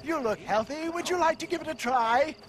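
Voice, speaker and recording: An actor playing a smooth-talking traveling tonic salesman in a game, with theatrical sales patter and blustering chuckles. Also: very high-pitched, animated, brisk. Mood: hawking. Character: smooth-talking male snake-oil huckster, showy and evasive. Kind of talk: video game cutscene voice acting.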